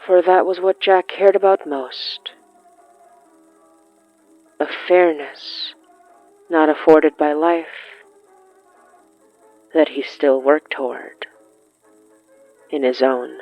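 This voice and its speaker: A narrator telling a bittersweet period tale in a tender, solemn, measured dramatic reading with deliberate pauses. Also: mid-range voice, fairly even intonation, dry recording, dull audio, soft background music. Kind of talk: slow dramatic scripted narration of a horror fiction podcast.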